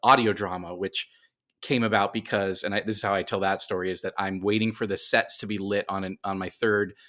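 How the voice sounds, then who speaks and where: A man's voice: low voice, little pitch movement, fairly quick, sharp tone. A middle-aged man speaking as a podcast guest, telling his story conversationally and often doubling back on himself as he explains.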